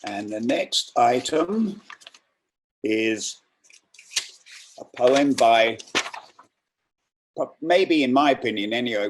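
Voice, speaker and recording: A man presenting and reading poetry aloud in a deliberate way, with informal introductions. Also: mid-range voice, a little hiss or hum, poor audio.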